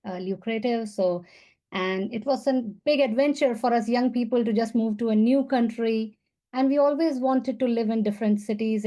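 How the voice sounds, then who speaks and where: A woman from India talks as a podcast guest, earnest and warm, with frequent small fillers. Her voice is mellow and dark in tone. Her pitch sits in an ordinary middle range. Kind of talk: casual interview talk.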